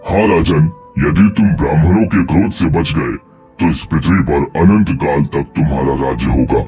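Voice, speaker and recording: A man's voice: very deep voice, moderate pace, warm tone, faint music behind. A man playing a grave, sly false ascetic in a cartoon moral story, speaking formally and solemnly. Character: royal prince in disguise as a holy ascetic, grave, sly.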